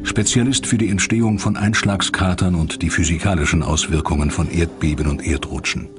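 A man narrating a scripted dramatic documentary in a measured, grave manner. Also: sits low, steady tone. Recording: voice-over under loud music. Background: loud score.

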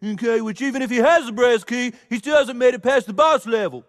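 A fussy nasal school counselor. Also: male voice, extremely high pitch, brisk, no echo, studio quality. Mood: exasperated. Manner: repeats okay, nasal and fussy.

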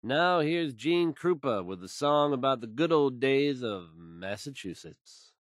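A man's voice: mid-range voice, no echo, studio quality. An actor playing an awkward young male radio DJ in a game, hesitant and stammering between songs.

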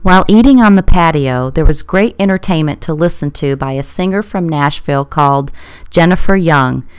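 A woman's voice: low voice, moderate pace, clear, resonant tone, no room sound. A woman reading scripted promotional narration.

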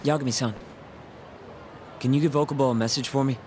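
A man's voice: mid-range voice, steady tone, brisk, a little mellow, a little hiss or hum, natural voice. A man playing a subdued, sincere young sidekick in a video game cutscene, casual in manner. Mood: earnest, worried. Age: young adult.

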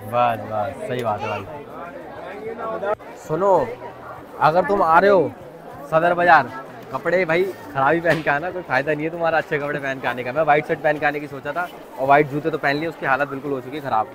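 A man's voice: fairly high pitch, fairly quick. Young male vlogger, casual chat to camera, very noisy market crowd around him. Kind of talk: casual vlog chat to viewers. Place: crowded outdoor street market. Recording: loud outdoor market crowd noise. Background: bustling crowd and street sounds.

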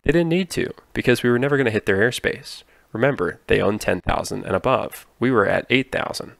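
A man giving scripted explainer narration in a clear, instructive manner. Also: mid-range voice, a little fast, fairly bright tone, no room sound. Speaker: narrator explaining air traffic control.

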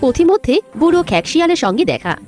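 High, lively woman storyteller. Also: fast, faint music behind. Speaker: story narrator. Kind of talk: scripted children's story narration.

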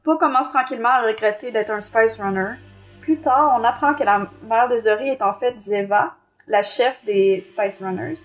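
A woman's voice: on the high side, normal speed, soft background music. A woman with a Quebec French accent co-hosting a podcast, reviewing a book in a somewhat hesitant, self-correcting way. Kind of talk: book review, plot summary and discussion.

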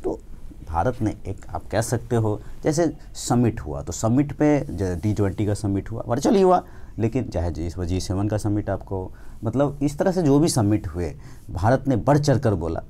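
An Indian man speaks in a soft-edged, mellow tone that sits a little low. He lectures informally like an exam coach talking students through material. He often repeats a phrase to drive it home. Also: very animated, moderate pace.

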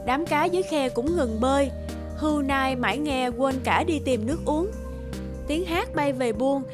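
A woman narrating a fairy tale for a cartoon in a smooth storytelling voice. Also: high voice, moderate pace. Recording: narration over loud music.

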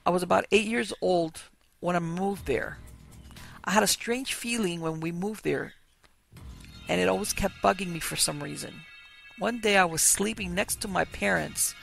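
A man hosting a podcast, reading listener ghost stories aloud in a slow, measured storytelling manner. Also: high-pitched, creaky, fairly bright tone. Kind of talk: scripted narration of ghost stories.

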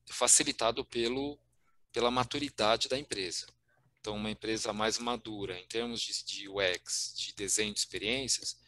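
A man's voice: lowish voice, sharp tone, no room sound. A middle-aged Brazilian man with a Brazilian Portuguese accent giving a slide talk on a video call, hesitant, with many fillers and restarts. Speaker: guest speaker. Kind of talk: presenting slides in a virtual meetup. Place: home room on video call.

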